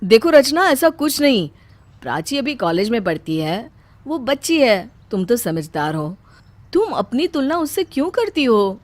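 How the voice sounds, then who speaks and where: A woman's voice: a little high, very animated, normal speed, no echo. A woman voicing a stressed, irritated young husband in a cartoon story, speaking curtly. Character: adult man, stressed tired young husband.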